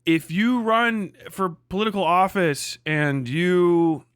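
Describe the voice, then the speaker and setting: A man with a fairly high-pitched voice talks off the cuff as a livestream host. His delivery is casual, blunt and scornful, thick with swearing. The sound is very dry, with no room around the voice. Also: slow, fairly bright tone, smooth, no room sound. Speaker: livestream host and political commentator. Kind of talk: casual, unscripted livestream commentary.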